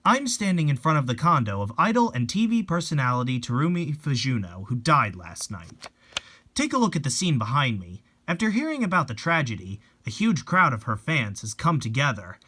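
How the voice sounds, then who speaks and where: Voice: medium pitch, big pitch swings, normal speed, sharp tone, breathy edge, full character voice. A performer voicing a solemn, formal TV reporter giving an on-scene report in a horror manga reading.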